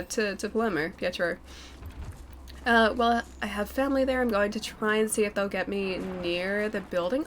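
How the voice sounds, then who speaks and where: A woman's voice: on the high side, a little hiss or hum. A woman in her twenties giving casual live game commentary and chatting with viewers in a playful, giggly way. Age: twenty-eight. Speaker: streamer. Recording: game music behind the voice. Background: video game music.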